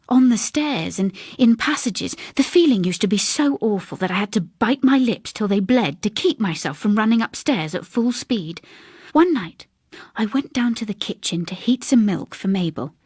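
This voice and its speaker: A woman's voice: mid-range voice, animated, moderate pace, a little mellow, husky, dry recording, clean studio sound. A woman voicing a reserved older English woman who recounts a haunting in a hesitant, confiding, precise way. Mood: haunted, fearful.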